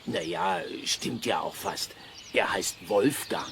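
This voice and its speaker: An actor playing a laid-back, easygoing biker loner who talks in casual slang, in a children's radio play. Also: male voice, fairly low pitch, slow, vocal fry, very noisy. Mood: chatty, proud. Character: laid-back long-haired biker loner man playing saxophone outdoors.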